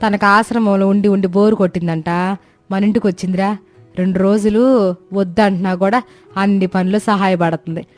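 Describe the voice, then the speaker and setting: A woman performs a self-sacrificing mother from a village in an animated tale. Her talk is motherly and rustic, at a medium pitch. Soft music sits behind the voice. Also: normal speed, close to an everyday voice. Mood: warm, chatty.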